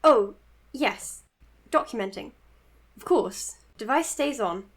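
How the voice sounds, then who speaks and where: A woman's voice: on the high side, lively intonation, takes it slowly, a little hiss or hum. A woman acting an earnest, anxious young doctor in an audio drama, hesitant but caring.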